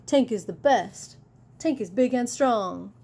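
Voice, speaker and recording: A woman's voice: fairly high pitch, lively intonation, slow pace, mellow, heavily acted. A woman voicing enthusiastic storybook fans chanting for their favorite monster trucks. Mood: cheering.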